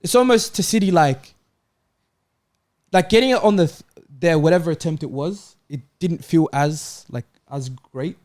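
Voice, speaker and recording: A man's voice: fairly high pitch, moderate pace, darkish tone. A man in a casual football debate, phrasing his argument tentatively and circling round his point. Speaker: football panel show regular.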